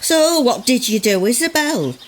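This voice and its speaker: Woman playing a lively nosy village gossip. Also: a little high, measured pace. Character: eager, scandalised old-time village gossip. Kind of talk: comic audio drama acting.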